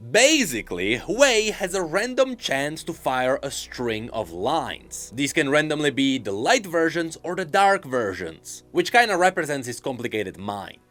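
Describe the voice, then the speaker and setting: A man's voice: fairly high pitch, sing-song, a little slow, hardly any pauses, fairly bright tone, faint music behind. A man giving casual, playful commentary as a streamer, explaining and reacting to video game lore with emphatic delivery.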